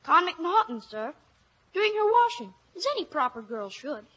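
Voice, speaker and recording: A woman's voice: high-pitched, sing-song, moderate pace, pushed and bright, exaggerated voice. A woman playing a cheeky, curious Irish village child in an old-time radio drama. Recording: dull old radio recording.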